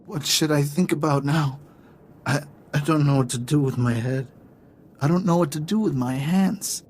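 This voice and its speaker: A man playing a drugged, confused captive in a video game, halting and stammering in a helpless daze. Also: a little high, darkish tone, a little hiss or hum, close to an everyday voice. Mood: lost, docile.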